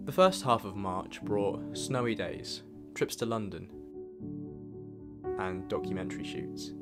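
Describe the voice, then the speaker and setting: A man reading a scripted, reflective year-in-review narration in a measured way as a vlog narrator. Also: on the low side, over loud music.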